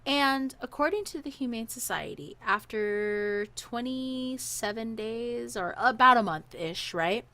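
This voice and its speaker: A woman's voice: fairly high pitch, measured pace, hardly any pauses. Casual, chatty woman telling a story. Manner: animated, acts out quoted dialogue. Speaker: podcast guest storyteller.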